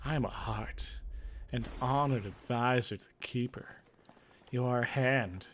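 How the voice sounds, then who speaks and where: A stern woman of rank in a dark fantasy game, acted out by a man. She is weary and dry, handing out orders in a measured, authoritative way. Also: medium pitch, a little hiss or hum, lightly acted. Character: stern, weary female senior advisor of a dark fortress.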